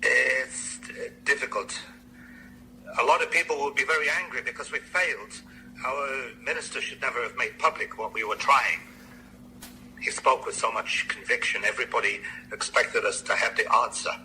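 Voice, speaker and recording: An actor playing a grave, dejected medical researcher in a radio drama, halting and formal in his phrasing. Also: medium pitch, sharp tone, a little hiss or hum, rough recording. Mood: dejected, apologetic.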